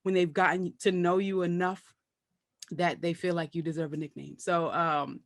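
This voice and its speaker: A woman with a Brooklyn accent, a comedian guest chatting casually and telling animated stories with frequent laughter. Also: on the low side, normal speed, no room sound, studio quality. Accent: Brooklyn, New York. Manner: laughs often, animated storytelling. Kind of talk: casual interview chat.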